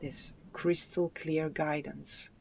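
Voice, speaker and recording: A tarot card reader giving a spiritual reading with affirmations, in a soft, soothing and unhurried voice that sometimes drops to a whisper. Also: medium pitch, level tone, gravelly, slight background noise, rough recording. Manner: soft, soothing, unhurried delivery with drawn-out phrases.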